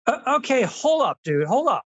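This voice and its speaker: An actor plays a young Zoomer co-host in a radio comedy sketch. The pitch leaps around a lot, and the talk is casual, slangy and exasperated. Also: sing-song, normal speed, breathy edge, lo-fi sound. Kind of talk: scripted comedy radio banter.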